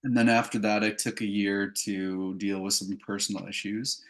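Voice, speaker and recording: A man's voice: on the low side, little pitch movement, moderate pace, a bit bright. A Canadian man answering interview questions casually, as a filmmaker, in an earnest, reflective way. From Calgary, Canada. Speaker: filmmaker and actor, interview guest.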